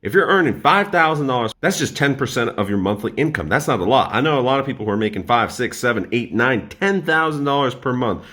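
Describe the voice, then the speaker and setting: A man in his twenties talking straight to his viewers in a casual, energetic explainer about money. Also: mid-range voice, fast talker, few pauses. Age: 20s. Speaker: personal finance YouTuber.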